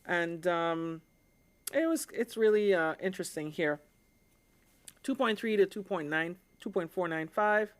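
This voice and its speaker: A woman's voice: on the low side, measured pace. A woman from the Caribbean hosting a live stream, explaining things in an informal, conversational way and often checking in with her listeners.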